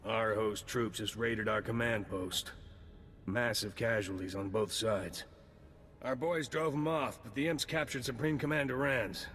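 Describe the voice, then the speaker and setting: An actor playing a gruff male intelligence agent in a video game, curt and matter-of-fact. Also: medium pitch, measured pace, fairly bright tone, a little hiss or hum, lightly acted. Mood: brisk. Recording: game audio with long reverb.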